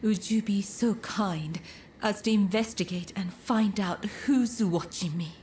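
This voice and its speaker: An actress playing an elegant, teasing courtesan in a video game cutscene, with refined, coquettish phrasing. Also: female voice, medium pitch, a little slow, a little mellow, slight background noise, close to an everyday voice. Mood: coy, pleading. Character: elegant, coy, teasing courtesan woman with powerful connections.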